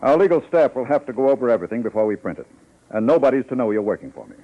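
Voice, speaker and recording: A man's voice: mid-range voice, lively intonation, rapid-fire, lightly acted. An actor playing a wealthy newspaper publisher in an old radio drama, formal and discreet, with careful hesitations before he gets to business. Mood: businesslike. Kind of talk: 1950s radio drama acting. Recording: slightly muffled old radio recording.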